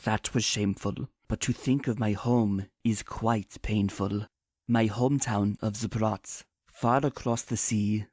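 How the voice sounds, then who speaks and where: A woman voicing a brooding female zebra who speaks in measured rhymes for a cartoon comic dub. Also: a bass voice, fairly even intonation, darkish tone, no room sound, voice pushed down. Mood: pained. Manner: measured rhyming speech. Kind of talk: fan comic dub voice acting.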